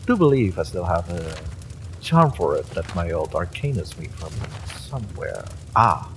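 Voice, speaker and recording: A man playing an evil sorcerer warlord in a fantasy audio roleplay, mocking and menacing with smug courtesy and a theatrical, drawn-out delivery. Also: low-pitched, big pitch swings, noisy background. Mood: soft, hypnotic. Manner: taunting, theatrical, drawn-out delivery with sinister chuckles.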